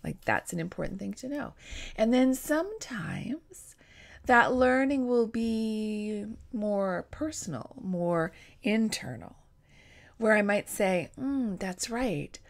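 A woman in her forties with a gravelly voice, talking casually to a webcam as a creative coach in her home studio. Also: medium pitch, slow pace. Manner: warm, encouraging, animated with hand gestures. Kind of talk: casual direct-to-camera vlog. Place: home studio room with bookshelves and string lights. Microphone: webcam at desk distance.

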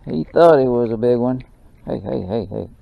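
A man giving excited running commentary while fishing from a small boat on a lake, recorded on a boat-mounted action camera. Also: medium pitch, moderate pace, gravelly. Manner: excited, drawling exclamations and mutters to the fish. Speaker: fishing channel host. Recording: dull action-camera audio with faint noise.

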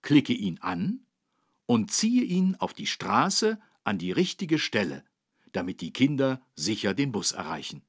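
A man playing a friendly policeman who courteously and clearly explains things to children in a learning game. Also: mid-range voice, sing-song, a little slow, exaggerated voice. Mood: instructive, patient. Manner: instructive, courteous, clearly enunciated. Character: friendly adult male policeman explaining traffic rules to children.

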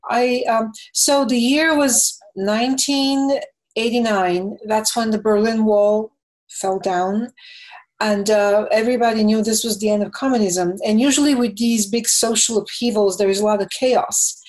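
A woman's voice: fairly high pitch, fairly even intonation, a little mellow, rough recording. Bulgarian-accented woman in her fifties, thoughtful interview guest on a webcam call. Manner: thoughtful, fills pauses with "you know". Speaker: film and game composer, guest. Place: home room with bookshelves. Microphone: webcam on video call.

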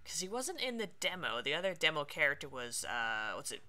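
A woman's voice: low-pitched, very animated, fairly quick, bright, forceful tone. A woman doing casual Let's Play commentary, chatting and thinking aloud as she plays. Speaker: streamer playing a video game.

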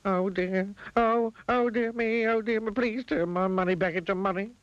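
A man's voice: sits high, fairly even intonation, moderate pace, dry recording, muffled recording, voice pushed up, close to an everyday voice. A man doing a stingy, whiny miser. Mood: panicky, pleading. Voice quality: whiny when pleading, curt when refusing. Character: stingy grown man, mean and fretful.

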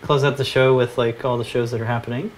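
A man co-hosting a casual live stream from a home workshop, slightly hoarse and rambling with easy laughs. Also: mid-range voice, fast talker, a little hiss or hum. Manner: relaxed, rambling, laughs often. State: losing his voice. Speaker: co-host.

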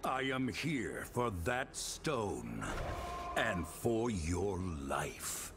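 Really low gravelly game hero, grim n solemn, slow talker, breathy. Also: male voice, big pitch swings, exaggerated voice. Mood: menacing. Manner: solemn, measured. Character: grim, resolute adult male warrior hunting an enemy. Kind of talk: fantasy video game voice acting. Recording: music behind the voice.

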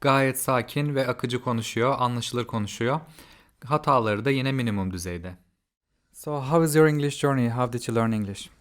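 A man's voice: mid-range voice, normal speed. A male English teacher and native Turkish speaker, hosting with interview questions and explanatory commentary. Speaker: English teacher and show host.

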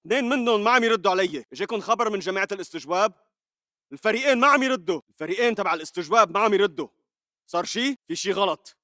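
مسلح شامي قلق وسريع الكلام. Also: male voice, sits high, expressive, racing, bright, forceful tone, no room sound, studio quality. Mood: uneasy. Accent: Levantine Arabic. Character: uneasy male militant checking on comrades. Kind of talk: video game combat chatter.

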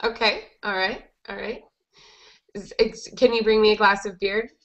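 A woman's voice: medium pitch, steady tone, moderate pace, fairly bright tone. A young woman teaching a conversational English lesson to a group online, in an encouraging way, on a headset. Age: young adult. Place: small indoor room, group video call. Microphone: headset boom mic. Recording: dull lo-fi video call headset audio.

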